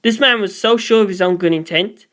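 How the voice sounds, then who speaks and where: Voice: medium pitch, fairly quick, a bit bright. A reader delivering scripted first-person story narration, as for an audiobook.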